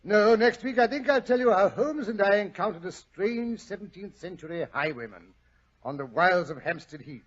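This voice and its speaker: Excitable, proper British gentleman companion, higher-pitched and husky, vintage radio drama. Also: normal speed. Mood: genial. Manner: blustering, exclamatory, proper. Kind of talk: 1940s radio acting. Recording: muffled 1940s radio broadcast.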